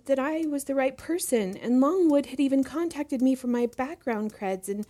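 A woman's voice: high voice, animated, normal speed, mellow. A woman playing an anxious protegee eager to please in an audio drama, hesitant, earnest and apologetic. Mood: pleading.

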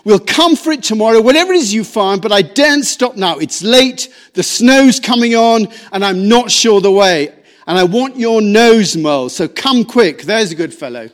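A man voicing a kindly, practical male water rat who speaks briskly and gently. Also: animated. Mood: hurried. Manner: brisk, then gentle and low.

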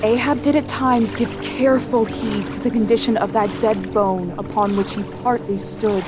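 A woman playing a thoughtful sailor who narrates a doomed whaling voyage in measured, literary storytelling. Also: on the high side, fairly even intonation, over music, lightly acted. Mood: grave. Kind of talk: scripted dramatic narration.

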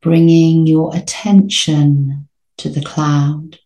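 Very slow, low-voiced woman guiding meditation. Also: flat delivery, reverberant. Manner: soothing, gentle, unhurried with long pauses. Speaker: meditation guide. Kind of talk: guided sleep meditation.

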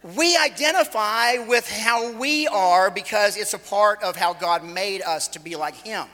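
High, bright-voiced preacher in his forties. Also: unhurried. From Los Angeles, California. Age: 40s. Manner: loose, joking, conversational with frequent restarts. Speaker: visiting preacher. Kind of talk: sermon. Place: church hall. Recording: echoey hall with long reverb.

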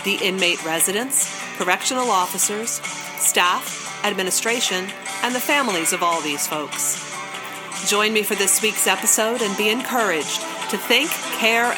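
A woman's voice: mid-range voice, animated, normal speed, loud music behind the voice, poor audio. A woman hosting a podcast in a conversational, emphatic way, drawing out key words. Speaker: podcast host. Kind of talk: hosted podcast intro and phone interview.

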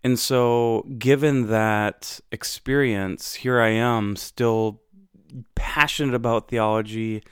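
A man's voice: mid-range voice, steady tone, slow pace, clear, resonant tone, no echo, clean studio sound. A young American man hosting a podcast, telling his own story in a reflective, conversational way with frequent fillers and restarts. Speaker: podcast host.

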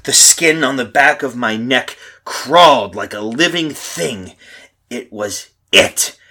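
An actor playing a frightened husband lost in time, in melodramatic old-time radio horror style with intense, urgent delivery. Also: male voice, medium pitch, lively intonation, slow, sharp tone, exaggerated voice. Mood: haunted, dread. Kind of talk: old-time radio horror acting, first-person narration and dialogue.

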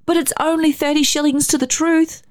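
A woman's voice: on the high side, moderate pace, a little mellow, pitched above the performer's own voice, close to an everyday voice. A woman voicing an earnest, pleading little blind boy in a narrated story, with a childlike manner. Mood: shy confessing. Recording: roomy echo, faint music.

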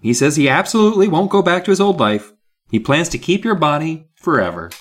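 A man's voice: a little high, big pitch swings, brisk, voice pushed up. A man voicing a flustered, apologetic woman who runs an odd business in a storybook. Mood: hesitant explaining.

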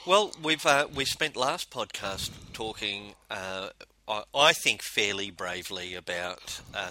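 A man's voice: mid-range voice, bright, forceful tone, slight background noise, rough recording. A man co-hosting a casual podcast, a native Spanish speaker, talking hesitantly with many ums and restarts. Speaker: podcast co-host.

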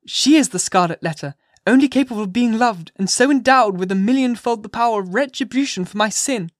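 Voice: mid-range voice, brisk, no room sound, studio quality, pitched above the performer's own voice, close to an everyday voice. A reader voicing a desperate young mother who pleads passionately, near shrieking, to keep her child. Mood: anguished. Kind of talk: audiobook reading.

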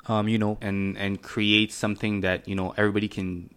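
A man's voice: lowish voice, flat delivery, fairly quick, a bit bright, clean tone. A man in his twenties with a slight French Canadian accent, a native French speaker, hosting a podcast in an earnest, motivational way with many fillers and restarts. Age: 20s. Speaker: podcast host. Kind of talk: casual solo podcast monologue.

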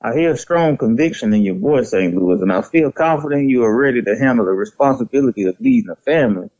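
A man playing a caring, measured father advising his grown son in an audio drama, on a dull lo-fi recording. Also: medium pitch, expressive, a little mellow, no room sound, lightly acted.

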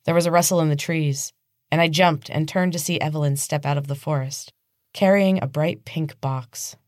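A woman narrates fiction in a dramatic audiobook style. Her voice sits low for a woman. The recording is dry, with no room sound around her. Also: fairly even intonation, moderate pace, no echo. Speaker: podcast host and author reading own novel.

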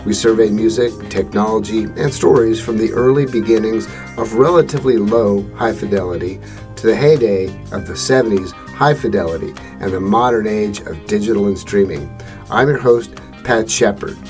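A man hosting a podcast, reading a measured scripted introduction and then asking his guest questions. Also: on the low side, darkish tone, faint music behind. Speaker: podcast host.

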